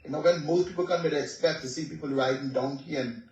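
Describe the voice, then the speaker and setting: A man's voice: a little high, fast talker, a little mellow, muffled recording. A man with a Bahamian accent, a reggae artist, talking in a laid-back way and saying "you know" all the time. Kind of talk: casual interview over a call. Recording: echoey, distant from the mic.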